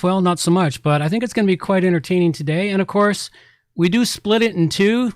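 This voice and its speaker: High-pitched male podcast host, dry sound. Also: no room sound. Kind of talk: unscripted podcast presenting.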